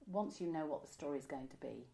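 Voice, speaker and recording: Low-voiced older Englishwoman, chatty. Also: brisk, vocal fry, dark tone. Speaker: interviewee, amateur pantomime writer. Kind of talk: casual reminiscing interview. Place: indoor room by a window, seated.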